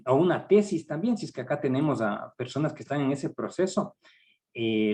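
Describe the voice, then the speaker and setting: Middle-aged Ecuadorian man, university workshop leader; measured, halting delivery, mid-pitched. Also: darkish tone. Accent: Ecuadorian Spanish. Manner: measured, hesitant with frequent fillers. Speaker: university research director giving a workshop. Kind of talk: academic training seminar.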